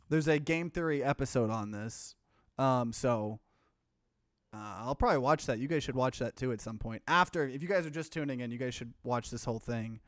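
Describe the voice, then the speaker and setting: A man's voice: medium pitch, smooth, no room sound, clean studio sound. A man hosting a video game playthrough, chatting casually as he comments on the game. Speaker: let's play host. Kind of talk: casual gaming commentary and reading game text aloud.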